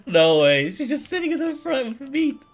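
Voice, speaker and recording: Animated, slangy game streamer. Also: sits high, brisk. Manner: slangy, reactive. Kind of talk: casual live game commentary. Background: game music.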